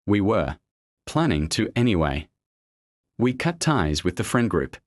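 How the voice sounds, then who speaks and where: A man reading out a first-person online story as a steady narrator, spelling out abbreviations letter by letter. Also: fairly low pitch, a little slow.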